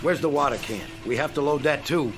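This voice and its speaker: An actor playing a greedy, treacherous crook in a radio drama, talking in a blunt, taunting, colloquial way. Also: male voice, on the high side, a little fast, faint music behind, lightly acted. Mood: brisk, businesslike. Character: sly, callous, greedy male crook, mocking and treacherous.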